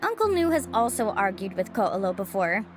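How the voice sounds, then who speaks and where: A woman playing an upbeat, earnest young tour guide in a video game, friendly and expressive. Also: mid-range voice, normal speed, over loud music, natural voice. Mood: earnest, apologetic.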